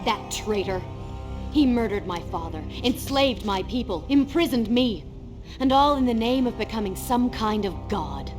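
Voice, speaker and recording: An actress playing a brave, wary young princess in a video game, indignant and dramatic. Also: female voice, fairly high pitch, lively intonation, moderate pace, over music, lightly acted. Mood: bitter. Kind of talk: cinematic video game voice acting.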